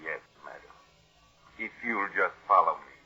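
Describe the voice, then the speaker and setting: An actor playing a formal, deferential household butler in an old-time radio mystery. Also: male voice, low-pitched, moderate pace, slightly rough, soft background music, full character voice. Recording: muffled old radio recording.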